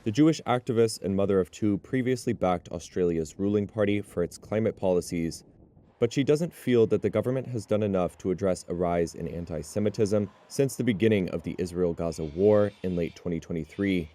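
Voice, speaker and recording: A man narrating a news report in a measured, even delivery. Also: low voice.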